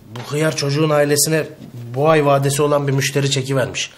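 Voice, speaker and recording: A man's voice: fairly high pitch, fairly even intonation, quick pace, close to an everyday voice. A man in his thirties playing a proud, exasperated son in a TV comedy-drama, talking in a blustering, colloquial way. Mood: indignant, worried. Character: exasperated, proud working-class son explaining himself to family.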